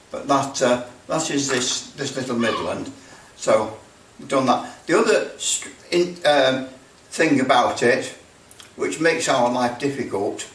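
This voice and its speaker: An older man in his sixties talks through a practical demonstration in a small workshop. He sits away from the microphone, so the room rings around his voice, with some faint noise behind him. His delivery is casual and halting, wandering as he thinks aloud. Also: medium pitch, fairly bright tone. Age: 60s. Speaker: radio repair video maker. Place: small indoor workshop with block walls. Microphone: camera mic at a distance. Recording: roomy, distant mic, background noise.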